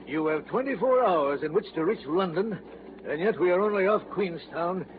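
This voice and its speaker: A man's voice: sits high, animated, moderate pace. A man playing a blunt, curt sea captain in a radio drama. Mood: sympathetic. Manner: curt, plain-spoken replies. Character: gruff, blunt middle-aged sea captain. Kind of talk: 1940s radio drama acting. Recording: tinny old radio broadcast, faint hiss.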